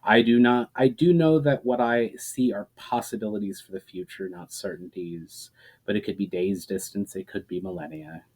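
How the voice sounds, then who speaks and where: A man's voice: on the low side, moderate pace, a little mellow, slightly rough. A man voicing an earnest, troubled mage in a storybook reading, grave and explanatory in manner. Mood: doubtful, worried. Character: troubled, earnest male mage haunted by visions.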